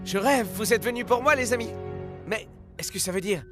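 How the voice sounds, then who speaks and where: An actor playing an earnest, excitable young boy footballer in a cartoon dub, with a dramatic, emotional delivery. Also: medium pitch, brisk, over music, natural voice. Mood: touched, surprised. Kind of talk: animated series dub acting.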